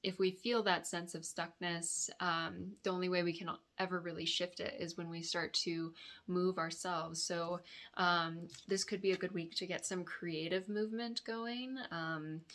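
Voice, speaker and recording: A woman with a raspy, dry-throated voice giving a weekly tarot forecast, offering reflective, meandering guidance to her viewers. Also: on the low side, fairly even intonation, measured pace, a bit bright. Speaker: tarot reader and yoga therapist.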